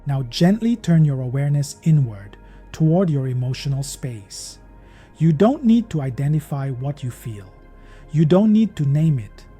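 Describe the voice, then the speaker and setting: A man narrating a guided meditation in a gentle, measured, soothing manner, as a podcast host leading listeners. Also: on the high side, expressive, slightly rough. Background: meditation music.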